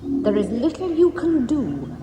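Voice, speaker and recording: An actress playing a calm, megalomaniac female ship's computer with composed, formal and pompous diction in a science-fiction radio drama. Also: sits high, a little slow, lo-fi sound. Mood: coolly grandiose. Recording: echoing radio drama with music.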